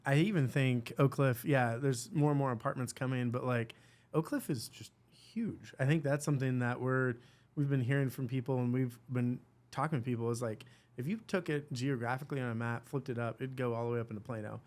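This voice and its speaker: A man's voice: mid-range voice, steady tone, fairly quick, a little mellow. A man co-hosting a podcast, chatting casually and agreeably. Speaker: podcast co-host. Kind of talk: casual interview chat.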